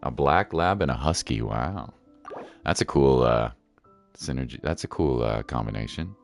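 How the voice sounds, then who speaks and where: A man's voice: a bass voice, expressive, normal speed, vocal fry. A man chatting casually as a game streamer, laid-back and thinking aloud. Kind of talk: casual live stream chat. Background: faint game music.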